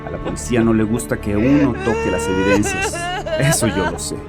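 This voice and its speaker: A man's voice: fairly low pitch, a little fast, a loud crowd, close to an everyday voice. An actor playing a bitter, harsh, troubled father confessing to a therapist in an agitated, defensive way in a horror audio drama. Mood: uneasy. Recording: voice over background music.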